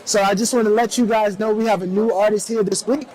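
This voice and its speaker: A man hosting a relaxed interview in a shisha lounge, talking in laid-back slang and peppering his lines with "man" and "definitely.". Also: high voice, fast talker, poor audio. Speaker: host. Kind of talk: casual hip hop interview.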